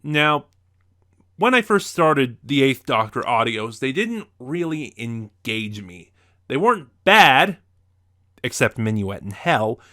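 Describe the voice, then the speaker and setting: Bright-voiced man giving a review. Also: mid-range voice, big pitch swings, slow. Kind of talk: opinionated review to camera.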